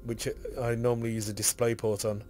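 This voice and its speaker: A man with a husky, hoarse voice from a cough, rambling casually while streaming a game and reading item text aloud. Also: medium pitch, little pitch movement, a little fast, darkish tone, slight background noise.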